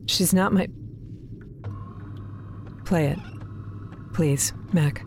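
A woman's voice: sits low, drawn-out words, darkish tone, a little hiss or hum, full character voice. A woman playing a withdrawn, weary scientist on an undersea base, dry, terse and guarded, in a naturalistic sci-fi audio drama.

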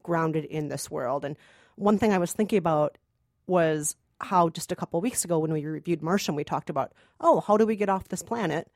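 Emphatic lowish-voiced woman reviewing films. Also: brisk, darkish tone, no echo, studio quality. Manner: emphatic, enthusiastic. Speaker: podcast co-host and film critic. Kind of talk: conversational film review.

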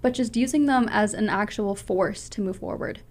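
A woman's voice: medium pitch, normal speed, a little mellow. A young woman from Canada, a public speaker answering interview questions in an earnest, passionate way with a big voice. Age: young adult.

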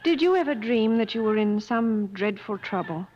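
A woman's voice: mid-range voice, expressive, normal speed, a little mellow, a little hiss or hum, lightly acted. An actress playing an anguished, proper wife searching for her missing husband in a 1950s radio drama, earnest and emotional. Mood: haunted, sorrowful. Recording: dull vintage 1950s radio broadcast.

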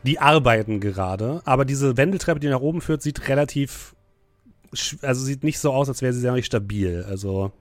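A man's voice: mid-range voice, animated, normal speed, dry recording. A man narrating an improvised tabletop role-playing game as game master.

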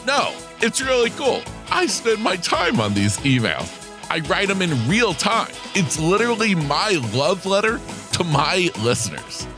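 A man hosting a podcast, speaking with an upbeat, animated delivery. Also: high-pitched, measured pace. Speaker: podcast host. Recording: music bed under the voice.

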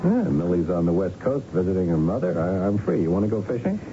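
A man's voice: sits low, very animated, fast talker, noisy background. An actor playing a cautious, law-abiding businessman in 1970s radio drama style, sounding proper and hesitant. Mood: friendly, casual. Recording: dull 1970s radio recording with music.

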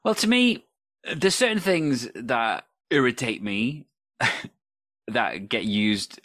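A man from Wales co-hosting a casual talk about improv, telling relaxed anecdotes. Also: mid-range voice, takes it slowly, no room sound, studio quality. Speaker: improv podcast co-host. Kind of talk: casual chat.

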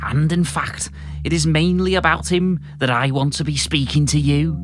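A man voicing a menacing Liverpudlian villain who barks slow, deliberate threats in a Scouse accent. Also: mid-range voice, fairly even intonation, slight background noise, close to an everyday voice. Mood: barking, sinister.

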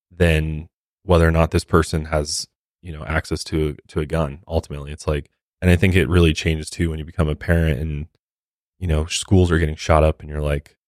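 A man's voice: very deep voice, dry recording, studio quality. An American man co-hosting a podcast, talking casually and earnestly in colloquial language. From United States. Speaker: podcast co-host.

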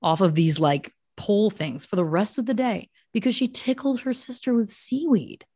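A woman's voice: medium pitch, normal speed, dark tone, dry recording, clean studio sound. A woman hosting a podcast, retelling a story as mock-serious true crime in an emphatic, conversational way with asides. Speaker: podcast host telling a story.

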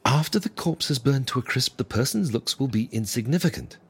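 An actor playing a wry, businesslike fixer in a comic audio drama, speaking with dry, formal, measured salesmanship. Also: mid-range voice, expressive, slightly rough. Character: wry, businesslike fixer who arranges faked deaths for clients.

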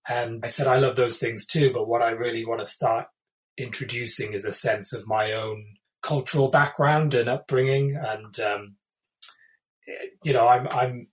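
A man's voice: mid-range voice, steady tone, normal speed, poor audio. A man in his thirties from the UK, an illustrator talking casually in an interview, thoughtful and hesitant with many fillers and restarts. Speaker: illustrator, guest.

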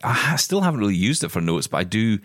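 Deep voiced guy hosting a tech show, bouncy intonation, thinks out loud, dry close mic. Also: quick pace, no room sound. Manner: animated, thinks aloud with restarts. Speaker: podcast co-host. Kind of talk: casual tech chat.

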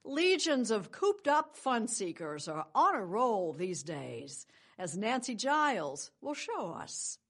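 An older woman hosting a morning news program, reading scripted introductions in a measured, polished way. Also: mid-range voice, very animated, a bit bright. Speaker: news show host. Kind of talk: scripted broadcast narration.

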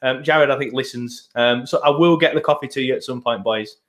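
A man's voice: mid-range voice, fairly quick. A man with a British accent hosting a podcast in a casual, rambling, matey way. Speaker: podcast host. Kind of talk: casual chat.

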